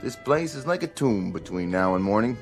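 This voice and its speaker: Night bus depot guy in radio drama, lowish voice, laconic, faint music. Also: lively intonation, fairly quick. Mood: matter-of-fact. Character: laconic night-shift bus station attendant.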